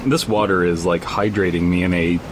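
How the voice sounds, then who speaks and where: A man with a deep voice talks casually as a podcast co-host. He rambles and halts with a wry touch, and a clear low rumbling noise runs through the recording. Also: fairly even intonation, fairly quick. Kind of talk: casual bantering talk and story reading.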